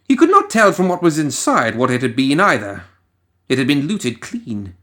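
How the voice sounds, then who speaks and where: A man giving a dramatic, scripted story narration in a deliberate, theatrical manner. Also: on the high side, huge pitch swings, bright, forceful tone. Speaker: audiobook story reader.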